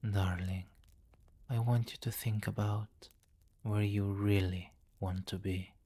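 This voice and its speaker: A man's voice: lowish voice, level tone, dark tone. A man playing a commanding, possessive vampire king in an intimate, teasing ASMR roleplay. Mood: coaxing, commanding. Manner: slow, teasing, condescending, with murmured endearments.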